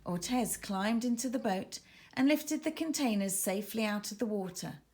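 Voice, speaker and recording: A woman's voice: medium pitch, expressive, moderate pace, a little mellow. A woman narrating a children's story expressively, with dramatic emphasis as she reads. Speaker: author reading own children's book.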